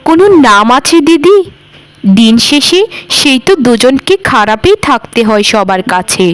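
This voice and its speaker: A woman's voice: a little high, very animated, measured pace, smooth, rough recording. A woman voicing a meek, long-suffering young village housewife in a cartoon, speaking in an earnest, placating way. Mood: weary, complaining. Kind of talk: village moral-story cartoon acting. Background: background music.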